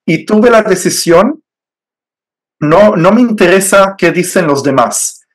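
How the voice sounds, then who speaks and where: A man from Israel whose first language is Hebrew, speaking in a measured, hesitant way with fillers as an interview guest telling his faith story. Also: high voice, animated. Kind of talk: personal faith testimony.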